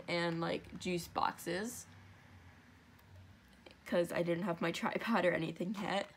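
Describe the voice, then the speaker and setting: A woman's voice: lowish voice, a bit bright. A young woman with a stuffy, congested nose, chatting her way through a step-by-step crafting tutorial at home, with rambling asides and self-corrections.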